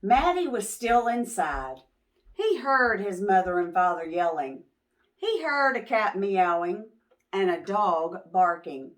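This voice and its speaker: A woman reading a picture book aloud to young children as host, expressive and pausing to ask questions. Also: mid-range voice.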